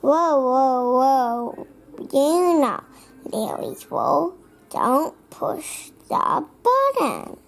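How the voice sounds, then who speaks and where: Slow, high-voiced child reading aloud. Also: very animated, creaky, fairly bright tone, a little hiss or hum. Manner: halting reading, word by word, repeating phrases. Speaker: young storybook reader. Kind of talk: read-aloud of a children's picture book.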